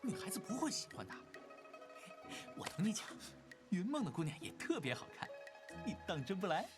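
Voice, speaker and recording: An actor playing a mischievous, playful teenage boy cultivator in an animated drama, chatty and teasing. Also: high voice, a little fast, music in the background. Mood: playful coaxing.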